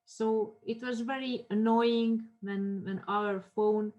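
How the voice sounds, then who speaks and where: A woman from Armenia lectures on marketing cases, her voice sitting a little high. Her English is halting, full of restarts and fillers. She keeps a steady classroom pace. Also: muffled recording, over a noisy crowd.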